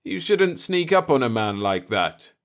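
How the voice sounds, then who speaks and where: A man's voice: medium pitch, big pitch swings, a little slow, fairly bright tone, voice pushed up. A man voicing an eager, brash human explorer, emphatic and argumentative, in a science fiction story. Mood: dismissive, curious.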